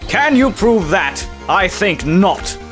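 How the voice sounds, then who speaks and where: A man's voice: high-pitched, sing-song, takes it slowly, fairly bright tone, faint music behind, full character voice. A man playing a smug, scoffing prosecutor. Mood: indignant. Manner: haughty, scoffing. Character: smug, indignant male prosecutor. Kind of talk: fan dub of game dialogue.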